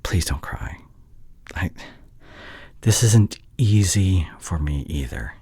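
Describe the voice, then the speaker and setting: Low, husky, earnest doctor boyfriend acted by a man. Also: animated, mellow, dry recording, heavily acted. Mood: pained, pleading. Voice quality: husky, breathy, often dropping to a whisper. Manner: halting, emotional, earnest. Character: conflicted male doctor, wounded but caring ex-boyfriend. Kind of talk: roleplay audio acting, intimate ASMR drama.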